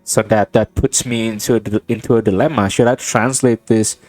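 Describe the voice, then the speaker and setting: Soft-spoken young man, halting and reflective. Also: on the low side, fairly even intonation, dry recording, soft background music. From Indonesia. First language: Indonesian. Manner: thoughtful, halting, with many restarts and fillers. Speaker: gaming vlogger. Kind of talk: rambling reflective monologue over gameplay.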